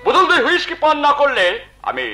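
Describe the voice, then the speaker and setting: A vengeful gentleman schemer, imperious and hot-headed. His high voice swings widely as he declaims in emphatic folk theatre style, with faint music behind. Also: wildly swooping pitch, fast, full character voice. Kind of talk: Bengali jatra folk theatre acting, declamatory.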